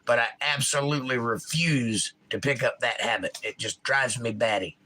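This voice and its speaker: Crisp-spoken bright man with a Georgia drawl. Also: medium pitch, moderate pace. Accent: Georgia, US South. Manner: enunciates crisply, animated, opinionated. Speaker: livestream host. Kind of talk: casual chat reading viewer comments.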